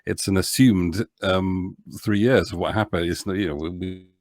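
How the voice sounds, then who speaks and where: A man with a very low voice talks casually as a guest in an interview. He is earnest and halting, repeating words as he goes, and the sound is dry and close. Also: steady tone, a little mellow, no echo. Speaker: podcast guest, audio drama producer.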